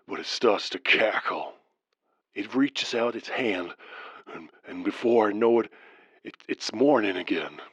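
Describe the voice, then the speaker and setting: A man voicing a haunted male caller on a radio show in a horror story, telling his encounters in a halting, uneasy, confessional way. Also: on the low side, dry recording, highs cut off, pitched below the performer's own voice.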